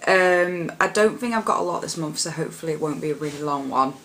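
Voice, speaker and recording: A young woman with a husky voice, chatting casually about her favourite products in a rambling, filler-heavy way. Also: deep voice, fast talker, fairly bright tone, slight background noise. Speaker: beauty vlogger. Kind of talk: casual product chat to camera.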